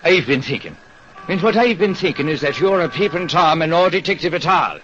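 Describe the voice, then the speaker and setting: A man's voice: on the high side, animated, brisk, fairly bright tone, soft background music. An actor playing a sly, bullying old policeman and father in a radio drama, folksy and mocking in manner. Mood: suspicious, mocking. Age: older. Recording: dull, noisy old radio recording.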